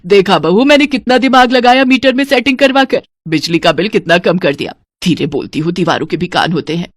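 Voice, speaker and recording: A woman's voice: fairly low pitch, a little fast, dry recording, close to an everyday voice. A woman voicing a stingy, scolding middle-aged mother-in-law in a cartoon moral story, bossy and grumbling. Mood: scheming.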